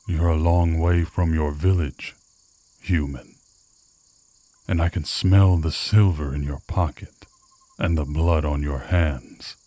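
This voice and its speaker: Male actor as gruff werewolf scout, ASMR roleplay. Extremely low, very unhurried, menacing and taunting. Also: steady tone, dark tone, dry recording, full character voice. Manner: slow, threatening, taunting.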